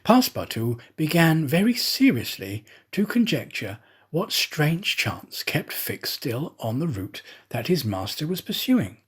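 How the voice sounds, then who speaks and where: Expressive, slightly husky male storybook narrator. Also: on the high side. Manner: theatrical, expressive, measured phrasing. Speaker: audiobook narrator, actor. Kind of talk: scripted storybook narration of a classic novel.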